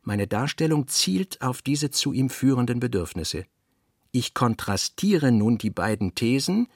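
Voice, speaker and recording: A cerebral, self-doubting novelist in a literary radio play. His voice is husky and sits at a middle pitch, very close and dry. He speaks in a measured, formal way, as if setting out his own working notes. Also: no echo. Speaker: actor reading a novelist's notes and letters. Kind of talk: radio drama literary reading.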